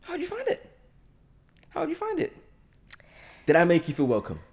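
A man's voice: high-pitched, wildly swooping pitch, fairly quick, darkish tone, slightly rough. A young man hosting a podcast in casual chat, often repeating short phrases. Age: young adult. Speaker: podcast host. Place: large bright living room, indoors. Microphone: podcast mic on boom arm.

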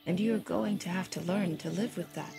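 An actress playing a serene, all-knowing stranger in an audio drama, speaking in measured, formal, solemn phrases, kind but unyielding. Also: female voice, fairly low pitch, vocal fry, music in the background. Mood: firm, kind. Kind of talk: audio fiction drama acting.

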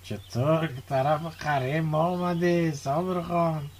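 A man's voice: fairly high pitch, steady tone, a little hiss or hum, close to an everyday voice. An actor playing a friendly, gossipy village man in a radio drama, speaking Dari with an Afghan accent. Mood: welcoming, curious.

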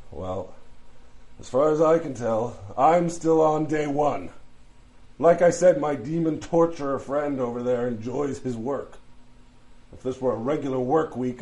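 A man's voice: mid-range voice, darkish tone, lightly acted. A man playing a long-suffering lawyer in hell for a comic fantasy audio drama, dry and sarcastic. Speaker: voice actor.

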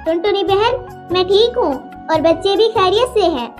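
A woman voicing a gentle, grateful mermaid mother in a children's cartoon, with light music behind. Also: very high-pitched, moderate pace.

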